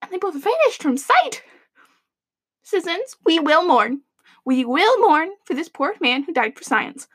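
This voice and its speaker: A woman's voice: very high voice, sing-song, unhurried, heavily acted. A woman playing an eager, theatrical government radio announcer in a comic dystopian audio drama. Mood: mock tragic.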